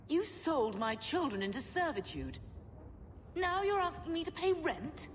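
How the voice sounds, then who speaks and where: A woman's voice: high-pitched, very animated, a little slow, in a large hall, a little hiss or hum. An actress playing a wounded, dignified middle-aged mother of noble birth, earnest and proper, in a video game drama. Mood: indignant.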